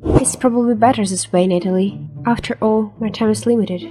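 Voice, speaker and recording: A woman voicing a gentle, resigned mother in a cartoon comic dub, soft-spoken and wistful. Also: mid-range voice, fairly even intonation, brisk, music in the background, close to an everyday voice.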